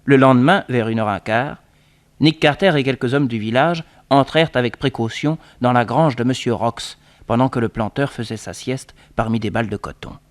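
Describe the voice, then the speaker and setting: Casting: male storyteller, mid-pitched voice, scripted dramatic narration for an old radio serial. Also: normal speed.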